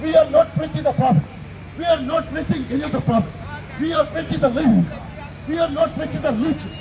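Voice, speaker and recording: A middle-aged man delivers an open-air street sermon with a very high voice. He speaks emphatically and keeps repeating his declarations into a handheld mic. Behind him a busy city plaza hums with crowd chatter and traffic noise. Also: fast. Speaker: street preacher. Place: outdoor city plaza by a railway station. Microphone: handheld microphone.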